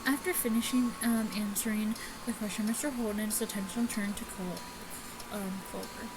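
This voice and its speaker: A woman hosting a casual let's play, reading game text aloud in a halting way with small stumbles. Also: medium pitch, fairly even intonation. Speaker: gaming video host. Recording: clearly noisy home recording.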